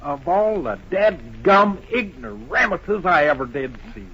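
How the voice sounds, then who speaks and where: Muffled old radio Western sound, and out of it comes an excitable cowboy sidekick, high and raspy. He blusters in folksy style, his pitch jumping around, loyal and quick to take offense. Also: exaggerated voice. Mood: indignant. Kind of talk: 1950s radio Western acting.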